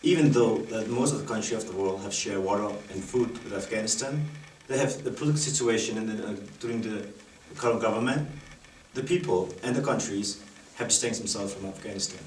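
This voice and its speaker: Male interviewer, hesitant questions, faint background noise. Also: lowish voice. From Europe. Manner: hesitant, halting phrasing with fillers. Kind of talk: casual on-location interview. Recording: roomy, distant mic with faint noise.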